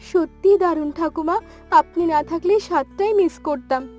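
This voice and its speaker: A woman's voice: extremely high pitch, moderate pace, mellow, faint music behind. A woman voicing an eager, grateful young granddaughter in a cartoon story. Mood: happy, grateful. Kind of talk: cartoon story dialogue in Bengali.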